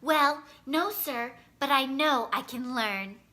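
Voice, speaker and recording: Woman voicing an eager, high-pitched young boy. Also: takes it slowly, bright, forceful tone, exaggerated voice. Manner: earnest, exclaiming.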